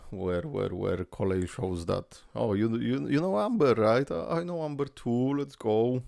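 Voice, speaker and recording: A man whose first language is Polish, hosting a casual game reaction video and talking in an excitable, rambling way full of fillers. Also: sits low, vocal fry, no room sound, clean studio sound.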